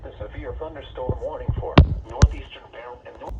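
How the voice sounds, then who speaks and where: A man's voice: fairly high pitch, big pitch swings, a little fast, vocal fry, loud music behind the voice. Muffled automated-sounding man on weather radio. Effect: weather radio broadcast. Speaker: weather radio warning announcer. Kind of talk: scripted emergency weather bulletin. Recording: muffled, distant, noisy weather radio capture.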